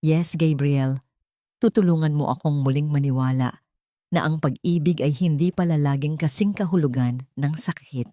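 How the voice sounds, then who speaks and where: A woman narrator voicing a tender young heroine in love, soft and earnest, in an audio romance. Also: deep voice, moderate pace, dry recording, studio quality, lightly acted. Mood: tender, moved.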